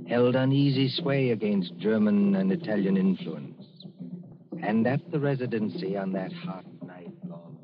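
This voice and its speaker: A man as announcer and narrator, delivering dramatic old-time radio narration in a measured, ominous, theatrical way. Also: lowish voice. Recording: muffled old radio recording with loud music.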